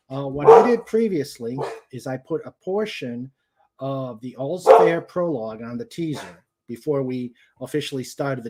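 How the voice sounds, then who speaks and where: Slow-talking man hosting, noisy background. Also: medium pitch. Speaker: host. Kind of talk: casual livestream chat. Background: dog noises and clicks.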